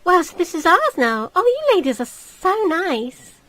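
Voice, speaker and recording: Woman acting a little girl, game character, cheeky, defiant, high-pitched. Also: very animated, a little slow, a bit bright, dry recording, full character voice, rough recording. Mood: gloating.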